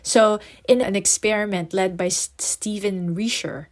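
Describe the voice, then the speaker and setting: A woman's voice: mid-range voice, a little slow. A middle-aged woman from the Philippines with a Filipino accent, hosting a podcast and explaining ideas in a friendly teaching tone. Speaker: podcast host and psychotherapist. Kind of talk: casual podcast talk.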